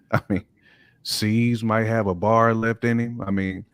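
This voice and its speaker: A man's voice: on the low side, flat delivery, slow, smooth, dry recording. A middle-aged American man chatting informally and in slang as a panelist in a hip hop discussion. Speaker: talk show panelist.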